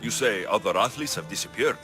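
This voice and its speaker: An actor playing a capable, earnest Greek mercenary hero in a video game cutscene. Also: male voice, lowish voice, lively intonation, brisk, sharp tone, soft background music. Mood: calm, sincere.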